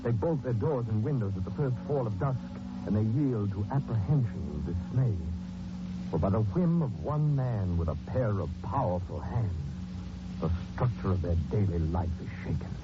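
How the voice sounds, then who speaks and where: A man's voice: on the low side, animated, moderate pace, heavy background noise, close to an everyday voice. A man hosting and narrating a 1940s radio mystery in an ominous, theatrical manner. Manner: ominous, theatrical, addressing characters directly. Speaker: host and narrator. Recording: muffled 1940s radio recording with loud music.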